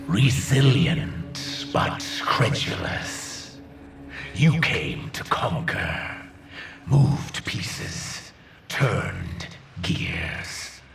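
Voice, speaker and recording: Ancient alien zealot, game villain, deep gravelly voice, grandiose and menacing, dramatic swings in pitch, cavernous distant echo. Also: male voice, very animated, a bit bright, soft background music, exaggerated voice. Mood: scornful, threatening. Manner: theatrical, portentous, measured oratory.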